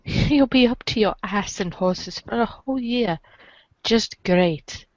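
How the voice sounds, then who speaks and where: A woman's voice: medium pitch, takes it slowly, dry recording, dull audio. A woman reading a comic story aloud on a group podcast. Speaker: podcast reader. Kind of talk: dramatic reading of a webcomic.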